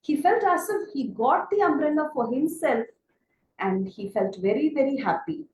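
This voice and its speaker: Woman telling kids a story, real high voice that swoops up and down, echoey room. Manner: expressive, deliberate, asks listeners questions. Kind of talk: animated children's storytelling.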